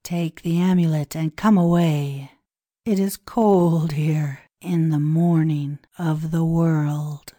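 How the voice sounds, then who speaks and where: A grave Egyptian priest from a storybook, played by a woman, speaks in a low, dark voice. The delivery is extremely slow and solemn, with formal, old-world phrasing, recorded dry and close. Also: steady tone, no room sound. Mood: urgent, commanding. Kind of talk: dramatised storybook reading.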